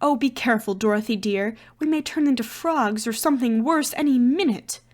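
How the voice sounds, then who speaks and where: A woman's voice: fairly high pitch, brisk, natural voice. A woman voicing a timid, cautious, earnest talking lion in a storybook, advising in an urgent, fretful way. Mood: fearful.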